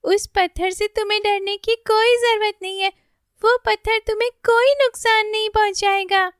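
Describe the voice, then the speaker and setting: A woman's voice: very high-pitched, fairly even intonation, normal speed, fairly bright tone, dry recording, studio quality, pitched above the performer's own voice. A woman voicing a secretive, cocky male forest creature, assertive and insistent, in a children's cartoon. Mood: reassuring.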